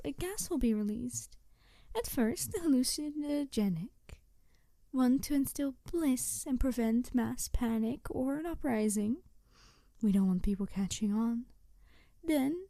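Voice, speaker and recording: A woman playing a snarky, bitter supervillain in an ASMR audio roleplay, taunting her captive in a theatrical, deliberate way with breathy whispered touches. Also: a little high, mellow, no echo. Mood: coldly proud, bitter.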